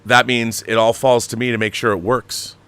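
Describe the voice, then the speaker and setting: A man hosting a casual livestream, explaining things in a rambling, animated, slangy way, like a director talking shop. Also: medium pitch, moderate pace, clean tone, no echo. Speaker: show host and audio drama director.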